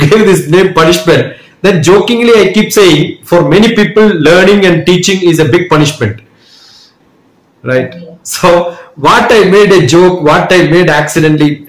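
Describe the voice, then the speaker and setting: A man answering interview questions at length, measured and reflective, with small restarts. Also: a little high. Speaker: guest, educator and speaker. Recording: roomy echo.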